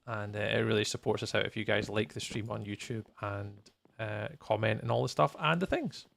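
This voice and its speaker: Guy streaming a game, super gravelly fry in his voice, rambles and thinks out loud, playful. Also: on the low side, fairly quick. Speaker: live streamer, host. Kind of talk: casual gaming livestream chat and commentary.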